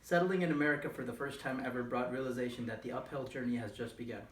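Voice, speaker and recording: A man's voice: medium pitch, fairly even intonation, quick pace. A young man from Nepal whose first language is Nepali, earnestly reading a formal personal speech with occasional slips and restarts. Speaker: student speaker. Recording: echoey room, voice from far off, faint noise.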